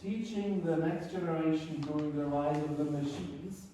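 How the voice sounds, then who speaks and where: A man's voice: fairly high pitch, fairly even intonation, moderate pace, warm tone. A man from Germany, a teacher, leading a conference workshop in a self-deprecating, joking manner. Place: conference room. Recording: echoey room, distant mic, faint noise.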